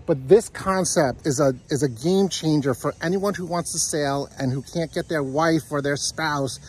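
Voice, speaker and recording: A man's voice: a little high, normal speed, husky. A middle-aged man hosting a casual outdoor interview, talking enthusiastically while filming on a handheld phone in a park. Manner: enthusiastic, asks leading questions. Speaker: sailing vlogger interviewing a guest. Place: outdoors on a grassy park by a lake. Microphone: handheld phone at arm's length.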